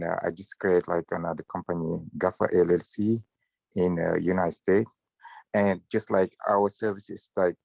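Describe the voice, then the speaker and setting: Very deep gravelly man from Senegal. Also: a little mellow, no room sound, lo-fi sound. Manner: hesitant, non-native phrasing. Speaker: panel participant, entrepreneur. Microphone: video call.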